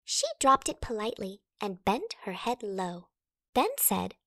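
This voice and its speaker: A woman narrating a children's rhyming storybook with an expressive, dramatic storytelling delivery. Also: mid-range voice, a little slow, no echo, studio quality. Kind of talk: expressive children's read-aloud in rhyme.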